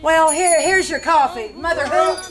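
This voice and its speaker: A woman acts a sharp-tongued diner waitress in an old radio drama. Her voice is high and brassy, with slangy, sassy timing, and music plays clearly behind her. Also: close to an everyday voice. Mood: mock offended. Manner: brassy, slangy, quick with comebacks. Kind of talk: radio crime drama acting.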